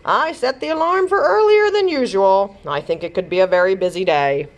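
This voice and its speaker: A woman's voice: medium pitch, lively intonation, normal speed, lightly acted. A woman voicing a mild, placating husband and assistant to a fairy godmother in a children's storybook. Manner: placating, mild-mannered, apologetic. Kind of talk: children's storybook read-aloud.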